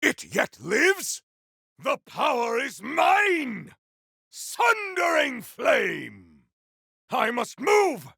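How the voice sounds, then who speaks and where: Gravelly, grandiose warrior. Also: male voice, very high voice, huge pitch swings, extremely slow, no room sound, clean studio sound, full character voice. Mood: battle fury. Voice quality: gravelly, forceful. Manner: theatrical, declamatory, formal. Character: proud, battle-hungry warrior with grandiose, formal speech. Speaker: video game voice actor. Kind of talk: video game combat barks.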